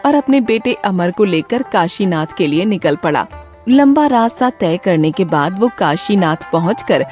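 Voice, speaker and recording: Lively, low-pitched woman storyteller. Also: unhurried, soft background music. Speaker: storyteller, narrator. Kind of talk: scripted children's moral-story narration.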